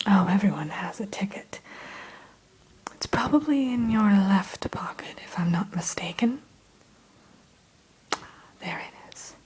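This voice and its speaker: A woman's voice: medium pitch, lively intonation, measured pace, long pauses, breathy edge, low-quality recording. A woman playing a friendly, welcoming train greeter in an ASMR roleplay, whispering in a polite, reassuring, chatty way. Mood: warm welcome. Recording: faint background hiss.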